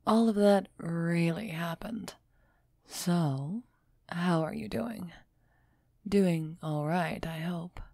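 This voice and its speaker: An aloof female vampire of noble bearing, acted by a woman for an audio roleplay. Her voice sits fairly low and she takes her time over every phrase. The sound is very dry and intimate, with a haughty, musing manner that hints at a softening heart. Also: slow, creaky, dry recording, exaggerated voice. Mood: cool concern. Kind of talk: scripted audio roleplay acting, close and soft.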